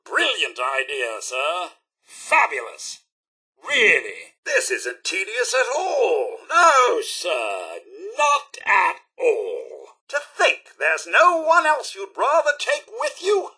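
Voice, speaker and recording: A man's voice: high-pitched, huge pitch swings, slow pace, sharp tone, breathy edge, full character voice. A man voicing a prim, fussy robot butler for a video game, with a British accent and a pompous, over-polite, theatrical manner. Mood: sarcastic. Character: prim, fussy, loyal robot butler, male, dryly sarcastic. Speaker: companion character in a video game.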